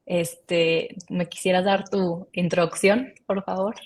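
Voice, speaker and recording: A woman hosting a live webinar interview over a video call, polite and steady as she guides the talk. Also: lowish voice, takes it slowly.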